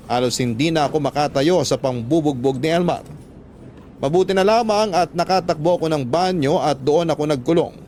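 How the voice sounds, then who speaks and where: A man's voice: a little high, a little mellow. A man with a Filipino accent telling a dramatic first-person story as a scripted radio drama narrator. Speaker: radio drama narrator reading a listener's letter. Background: music.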